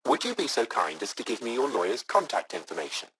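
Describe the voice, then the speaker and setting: An actor playing a courteous AI subroutine of a taxi company in a video game, speaking with formal, measured customer-service politeness. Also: male voice, medium pitch, huge pitch swings, fairly bright tone, husky, exaggerated voice. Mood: official, courteous.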